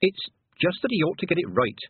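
A man's voice: sits high, huge pitch swings, fast, slightly rough, no room sound, phone-quality sound, pitched above the performer's own voice, exaggerated voice. A man voicing an earnest, logical young girl in a storybook, with a precise, assertive, pedantic manner.

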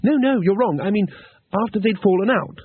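High, prim, earnest tourist as a reader voices him, husky tone, tinny phone-like sound. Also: male voice, animated, a little fast, no room sound. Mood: eager. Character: naive, fussy, eager male tourist.

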